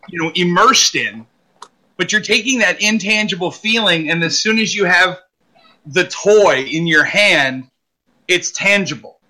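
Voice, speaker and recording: Man in his 40s, high and very bright voice, earnest podcast guest musing on toys. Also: rough recording. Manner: reflective, earnest. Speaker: podcast guest, toy show creator. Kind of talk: casual podcast chat.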